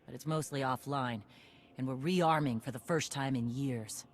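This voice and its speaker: A woman's voice: a bass voice, normal speed. An actress playing a tough veteran female warrior clan leader, blunt and commanding. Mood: gruff.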